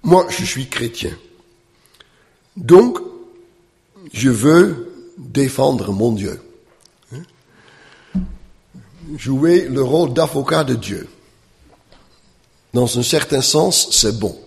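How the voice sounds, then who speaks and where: A man who is not a native speaker, giving a Bible lecture in a slow, halting way, often checking in with "hein?" and filling pauses with "euh". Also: mid-range voice, animated, gravelly, in a large hall. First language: not French.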